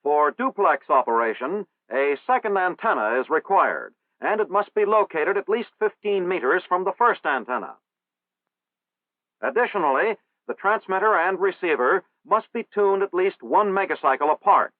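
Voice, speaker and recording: A man narrating a military training film in a formal, measured, instructional manner. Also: high voice, lively intonation, no room sound. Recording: old 1960s film soundtrack, tinny.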